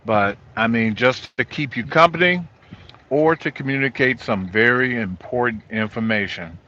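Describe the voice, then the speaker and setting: A middle-aged or older man, a trucker, giving an informal, halting walkthrough of gear from inside his truck cab. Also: mid-range voice, pushed and bright, a little hiss or hum. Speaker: truck driver vlogger. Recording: dull lo-fi audio.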